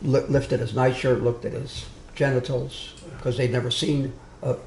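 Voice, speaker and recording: Loud, rambling man lecturing in a roomy hall. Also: mid-range voice, little pitch movement, moderate pace, a little hiss or hum. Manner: loud, digressive, with many restarts and asides. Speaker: speaker giving a history talk. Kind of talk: informal lecture to a small audience. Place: meeting room with a live audience.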